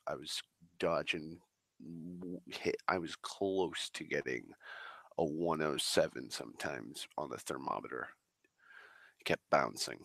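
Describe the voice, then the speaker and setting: A man rambling slowly on a stream, swearing often and sighing, a little congested as he gets over the flu. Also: rumbling low, bright, forceful tone, no echo. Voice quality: gravelly. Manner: swears a lot, drawling, slow and sighing. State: recovering from flu, slightly congested. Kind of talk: rambling casual monologue. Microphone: desk microphone.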